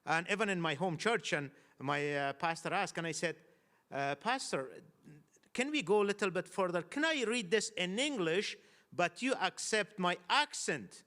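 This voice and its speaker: Man from Afghanistan, fairly high voice, hesitant thoughtful panel talk. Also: animated, moderate pace, pushed and bright. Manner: hesitant, many fillers and restarts, thoughtful. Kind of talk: panel discussion.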